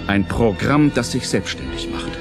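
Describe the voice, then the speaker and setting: A man's voice: fairly low pitch, very animated, moderate pace, vocal fry. Dramatic male narrator over music. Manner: theatrical, suspenseful, articulate. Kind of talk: dramatic scripted narration for a radio play.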